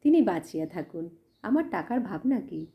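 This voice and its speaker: A woman voicing a gentle, determined, generous village woman in an audio story, kindly and resolute. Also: fairly low pitch, sing-song, moderate pace, dull audio. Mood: fond.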